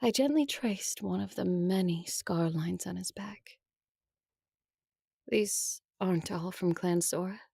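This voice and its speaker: A powerful, composed vampire woman with a tender, teasing streak. Her voice is husky and rather low, recorded close and dry. It is an intimate audio drama delivery over faint music. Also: slow pace, mellow, no echo, full character voice. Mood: intimate, soft. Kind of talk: first-person audio drama acting and narration.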